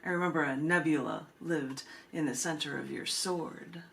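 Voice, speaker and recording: A woman hosting a poetry reading, speaking expressively and deliberately, with casual asides. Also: low voice, fairly bright tone. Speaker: poet and reading host. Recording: clearly noisy room recording.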